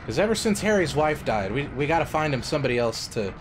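Lively, cursing young streamer guy. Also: a little high, fast talker, music in the background. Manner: joking, profane, loud excited reactions. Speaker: game streamer. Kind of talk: casual live-stream commentary. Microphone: headset mic.

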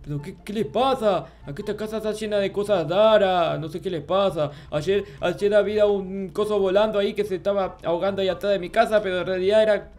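A man's voice: sits high, fairly quick, few pauses, a little mellow, lightly acted. A man voicing a childish, clueless, grumpy little game character, stammering and repeating himself in a Minecraft roleplay. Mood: confused. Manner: babyish, stammering, repetitive, easily flustered. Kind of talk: improvised Minecraft roleplay narration.

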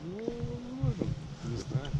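A man casually commenting while filming a family outing on a handheld camera, speaking in relaxed offhand remarks. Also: high voice, slow. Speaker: vlogger filming a family trip. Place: outdoors on a street and in a car. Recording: loud outdoor noise on camera mic.

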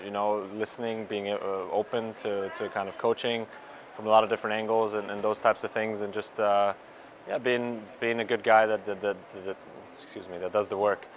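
A young man with a low, level voice answers a cluster of reporters after a match. He talks haltingly, full of ums and restarts, into handheld mics held close. A faint hum of the stadium sits behind him. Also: flat delivery. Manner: hesitant, many fillers and restarts. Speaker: pro soccer player. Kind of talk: postgame media scrum. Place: stadium media area in front of sponsor backdrop. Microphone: reporters' handheld mics and recorders held close.

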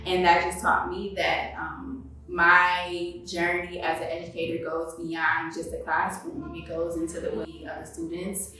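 A woman with a lowish voice tells her story as a dance professor. She is recorded in a very echoey space, away from the mic. Music plays clearly under her words. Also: completely flat delivery, a little slow, few pauses, pushed and bright. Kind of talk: personal testimonial. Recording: very echoey, distant microphone.

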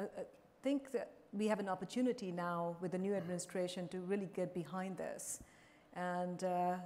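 A woman's voice: fairly low pitch, dark tone. Woman panelist in a reverberant hall. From India. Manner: measured, with frequent fillers and restarts. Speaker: pharma executive panelist. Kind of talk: conference panel talk. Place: large conference stage. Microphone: lapel mic.

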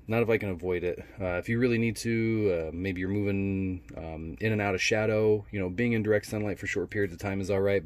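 A man's voice: sits low, darkish tone. A man doing a casual, laid-back unboxing narration as a product reviewer, speaking in colloquial language. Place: indoors at a wooden workbench.

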